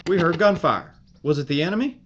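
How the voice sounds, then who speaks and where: An actor playing a hard, determined American army major giving clipped orders in a World War II audio drama. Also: male voice, fairly high pitch, animated, brisk, dull audio, noisy background, close to an everyday voice. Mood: alert. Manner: commanding, clipped military radio orders.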